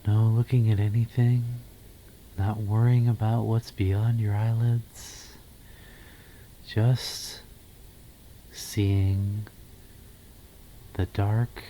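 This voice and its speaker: A man with a very dark, mellow voice leads a guided ASMR meditation. He speaks very slowly and softly, often slipping toward a whisper, in a gentle, soothing manner. His pitch sits low, and short phrases are set apart by pauses. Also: level tone, a little hiss or hum, rough recording. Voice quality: soft, breathy, half-whispered. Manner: soothing, slow, gentle, pausing between phrases. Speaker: ASMR host guiding meditation.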